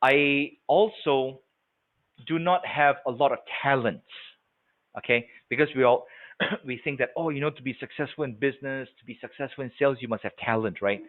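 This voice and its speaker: A man's voice: mid-range voice, normal speed. A man in his forties from Singapore leads a sales training webinar in a mid-range voice. He talks in a loose, conversational way and keeps checking that his listeners follow. The sound is narrow and tinny, like a phone call. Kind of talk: live webinar training talk. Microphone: video call.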